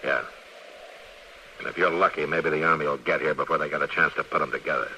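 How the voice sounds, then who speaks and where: An actor playing a stern, weary middle-aged US Marshal of the Old West in a 1950s American radio western, speaking gravely and bluntly with an American accent. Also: male voice, rumbling low, fairly even intonation, quick pace, pushed and bright, exaggerated voice. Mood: grim, accusing. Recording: noisy vintage radio recording.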